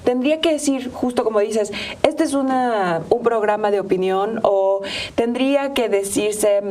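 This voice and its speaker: A woman's voice: fairly high pitch, measured pace, a little mellow. A woman with a Mexican accent hosting a conversational interview, thinking aloud with hesitant repetitions. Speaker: host. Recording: slightly distant microphone, faint noise.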